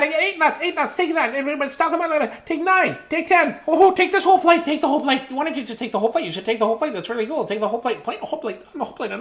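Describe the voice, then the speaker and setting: A woman's voice: high-pitched, a bit bright, pitched above the performer's own voice. A woman voicing a dramatic squirrel who is fed up with nuts, in a singsong, wailing way. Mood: giddy.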